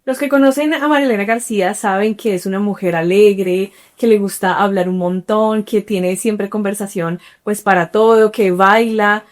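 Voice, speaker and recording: A woman's voice: mid-range voice, steady tone, moderate pace, hardly any pauses. A woman hosting an interview podcast, reading a scripted introduction and asking encouraging questions. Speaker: podcast host.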